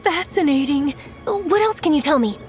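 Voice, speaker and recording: A woman's voice: sits high, lively intonation, normal speed. An actress playing an eager, curious young woman reporter in a video game, chirpy and enthusiastic as she talks. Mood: curious, delighted. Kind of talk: scripted video game dialogue acting. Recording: music behind the voice.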